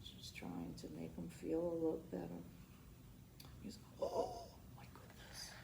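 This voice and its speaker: A woman reading a children's picture book aloud as storytime host, expressive and dramatic, pausing for asides and questions to her young listeners. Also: fairly low pitch, gravelly. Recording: roomy echo, noticeable background noise.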